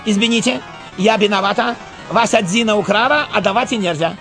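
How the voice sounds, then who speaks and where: Man playing a servile Japanese valet, higher-pitched. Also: fairly quick, fairly bright tone, faint music behind. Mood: apologetic, pleading. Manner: broken grammar, clipped apologetic phrases. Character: servile, stubbornly loyal Japanese valet, bowing and apologizing.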